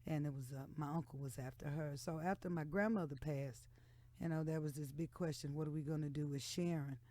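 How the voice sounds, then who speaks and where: A woman's voice: low-pitched, a little fast, mellow, no room sound. An American woman in her sixties talking candidly and emphatically as a guest in a radio interview. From Texas and Oklahoma, United States. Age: 60s. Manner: frank, outspoken, emphatic. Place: radio studio. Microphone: studio microphone.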